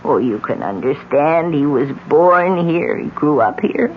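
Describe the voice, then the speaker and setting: An actress playing a weary, bitter old mother in a radio mystery drama, halting and resigned in her speech. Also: deep voice, animated. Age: older. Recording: muffled, noisy vintage radio drama recording.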